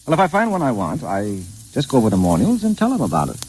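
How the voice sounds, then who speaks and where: A man's voice: medium pitch, huge pitch swings, fast talker, a little mellow, husky, full character voice. An actor playing a wry, bookish, hard-up man in a vintage radio drama, chatty and self-justifying. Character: wry, broke bookish male poet telling anecdotes. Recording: hissy, crackly old-time radio recording.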